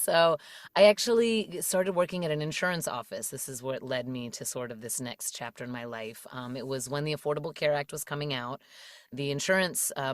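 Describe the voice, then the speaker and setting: A woman's voice: sits low, no room sound, clean studio sound. A woman in her thirties from the Washington DC area, chatting casually as a podcast guest, with lots of fillers and frequent laughter. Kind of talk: casual interview chat.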